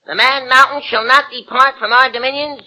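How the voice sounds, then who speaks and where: A man's voice: very high-pitched, fairly even intonation, brisk, bright, forceful tone, exaggerated voice, rough recording. A pompous tiny king. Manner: pompous, fussy, easily flustered. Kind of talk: 1940s radio acting. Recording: old radio broadcast with music.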